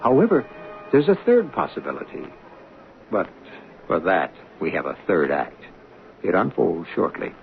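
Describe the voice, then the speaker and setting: A man with a gravelly voice hosting and narrating a 1970s radio mystery in a measured, dramatic, wry way. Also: low voice, huge pitch swings, background noise. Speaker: host and narrator. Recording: narrow-band old radio broadcast.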